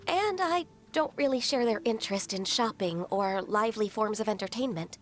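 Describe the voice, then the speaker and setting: A woman voicing a gentle, shy, dutiful half-human young woman in a video game, speaking politely and formally with some hesitance. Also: on the high side, normal speed, natural voice. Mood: reserved, wistful. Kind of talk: video game character dialogue.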